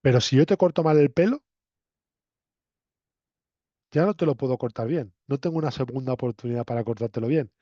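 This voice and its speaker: A man's voice: medium pitch, fast, warm tone. A man from Spain with a Castilian accent, lecturing to students in a conversational, didactic way, often checking in with short tag questions. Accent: Castilian Spanish. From Spain, La Mancha. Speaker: university lecturer. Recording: roomy echo, dull lo-fi sound.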